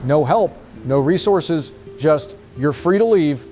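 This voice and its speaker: A man's voice: on the high side, animated, takes it slowly, a little mellow, slight background noise. A man playing an earnest field news reporter, giving a live report with emphatic, concerned storytelling. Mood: earnest, grave. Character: earnest male field reporter, shaken by events.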